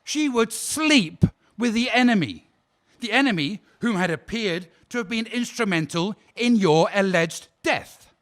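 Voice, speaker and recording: A man's voice: high voice, lively intonation, unhurried, fairly bright tone. A man acting a 1940s court barrister, cross-examining in a pointed, accusing way. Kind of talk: radio drama acting.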